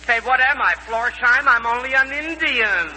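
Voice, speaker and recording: Slow deadpan radio-comedy moccasin seller. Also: male voice, extremely high pitch. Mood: gruff, deadpan. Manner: broken English, deadpan. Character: slow, gruff Indian moccasin seller, comic stock character. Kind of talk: 1940s radio comedy acting. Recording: vintage 1940s radio, hall echo, faint hum.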